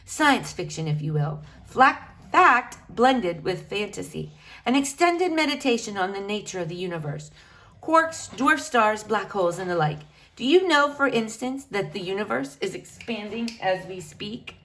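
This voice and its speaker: A woman's voice: mid-range voice, big pitch swings, a little slow, fairly bright tone. Woman as pompous, bookish boy, precise delivery. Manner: precise, pompous, long-winded. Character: pompous, wordy, bookish young boy, unflappably polite.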